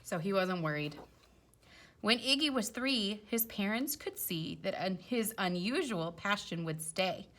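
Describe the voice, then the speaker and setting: A woman's voice: mid-range voice, lively intonation, a little slow, fairly bright tone. A woman teacher reading a children's storybook aloud expressively, with chatty explanatory asides for young listeners.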